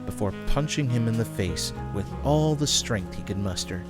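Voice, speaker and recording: A man's voice: on the low side, expressive, normal speed. A man working as narrator, reading a scripted fantasy story. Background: background music.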